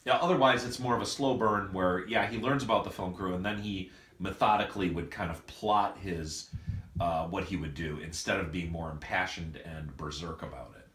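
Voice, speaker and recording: A man working as a comedy writer, haltingly working out plot ideas aloud in casual discussion. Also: low-pitched, fairly even intonation, a bit bright. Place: indoor room at a writing session. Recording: echoey room, faint background noise.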